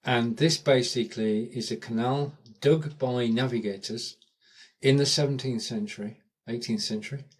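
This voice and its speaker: A man's voice: mid-range voice, normal speed, husky. A man from Lancashire with a northern English accent, hosting a casual live stream and chatting with viewers in a rambling, friendly way. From Lancashire, England. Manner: chatty, thanks viewers by name, rambling asides. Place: home in rural England.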